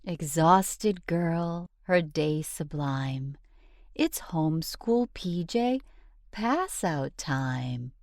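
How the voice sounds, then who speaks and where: A woman reading a rhyming children's book aloud in an expressive, sing-song storyteller's delivery. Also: deep voice, drawn-out words, dry recording, clean studio sound.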